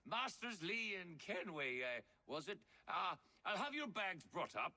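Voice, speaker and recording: Nervous deferential innkeeper, high, tense bright tone, halting, game cutscene. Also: male voice, sing-song, heavily acted. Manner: hesitant, stammering, servile. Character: flustered, deferential male innkeeper. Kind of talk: video game character acting.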